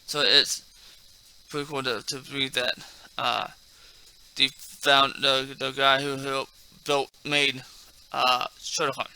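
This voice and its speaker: A man hosting a martial arts video in a casual, halting way, repeating and stumbling over words, with a slight speech impairment. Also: medium pitch, level tone, pushed and bright, smooth, rough recording. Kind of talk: casual talk mixed with halting reading aloud from a text.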